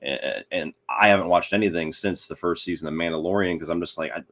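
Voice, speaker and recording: A man's voice: low-pitched, steady tone, quick pace, fairly bright tone. A man speaking as a podcast guest, giving his opinions on films in a casual, conversational way. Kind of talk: casual film discussion.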